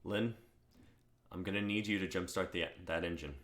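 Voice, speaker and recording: A man's voice: deep voice, moderate pace, a bit bright. A man playing an exasperated, protective young husband with a sardonic, urgent manner in a dramatic script reading. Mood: grave, tender.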